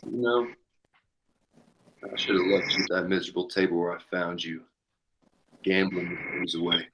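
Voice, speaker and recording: A man playing a menacing outlaw gang leader and former cavalry captain in a western table read, speaking in a taunting, deliberate way. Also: sits low, fairly even intonation, muffled recording, noisy background, close to an everyday voice. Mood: bitter.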